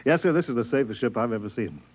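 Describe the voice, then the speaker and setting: An actor playing a smooth-talking, manipulative space passenger in an old radio drama, affable and insinuating. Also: male voice, medium pitch, lively intonation, fast talker, natural voice. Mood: affable, coaxing. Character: smooth-talking, manipulative male passenger sowing distrust aboard. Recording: tinny, band-limited old radio recording.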